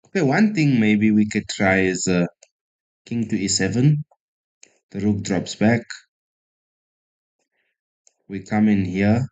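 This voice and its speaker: A man's voice: lowish voice, animated, slow pace, pauses often, darkish tone, dull audio. A man from South Africa streaming chess, thinking aloud and chatting casually with viewers in a self-deprecating way. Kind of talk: casual live-stream commentary.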